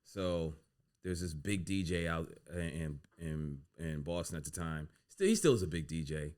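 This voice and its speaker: Man, very low voice, laid-back storyteller, podcast guest, filler-heavy talk. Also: measured pace. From United States. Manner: relaxed, filler-heavy, says "you know what I'm saying" often. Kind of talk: casual storytelling interview.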